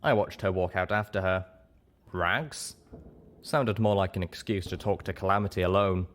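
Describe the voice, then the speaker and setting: A man's voice: low-pitched, normal speed, a little hiss or hum. A man reading a scripted first-person story as an audiobook narrator.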